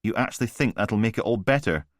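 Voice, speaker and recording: A male narrator voicing a weary, sullen young constable with flat delivery. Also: fairly low pitch, quick pace, no room sound, studio quality, voice pushed up, close to an everyday voice.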